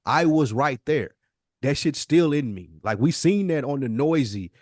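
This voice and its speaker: A man's voice: a little high, animated, moderate pace, a little mellow, no echo, studio quality. A man co-hosting a podcast, debating and telling stories about hip-hop in an emphatic, slangy, casual way, often repeating himself for emphasis. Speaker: podcast co-host.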